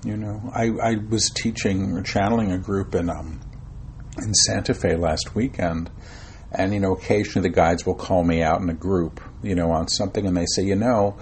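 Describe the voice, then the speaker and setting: A man's voice: low voice, steady tone, normal speed, a little mellow, a little hiss or hum. A middle-aged man talking as an interview guest about his spiritual work, rambling with frequent fillers and restarts. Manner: self-described shy and reserved. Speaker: guest, spiritual channeler and author. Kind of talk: conversational interview.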